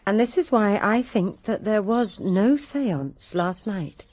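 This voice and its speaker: A woman's voice: medium pitch, animated, a little slow, a little mellow, no echo. A woman playing a resentful lady's maid who gives her testimony with bitter emphasis in a radio drama.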